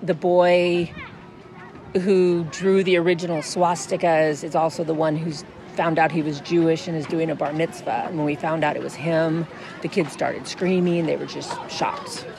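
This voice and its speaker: A woman's voice: low voice, steady tone, moderate pace, gravelly, crowd noise, noisy background. A middle-aged American woman, a schoolteacher, explaining things and reading aloud. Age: middle-aged or older. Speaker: fifth grade teacher. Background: music.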